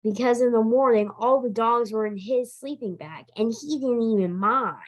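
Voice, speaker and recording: A woman voicing an excited, eager young boy in a storybook, speaking with enthusiastic emphasis. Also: fairly high pitch, normal speed, lo-fi sound, lightly acted. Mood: proud, matter-of-fact. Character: excited, eager young boy who loves his dog. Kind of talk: storybook character read-aloud.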